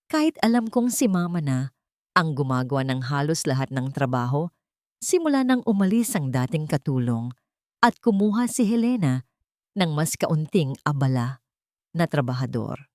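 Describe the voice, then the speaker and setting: A woman's voice: low-pitched, sing-song, normal speed, darkish tone, dry recording, studio quality. A woman reading a scripted dramatic story as narrator.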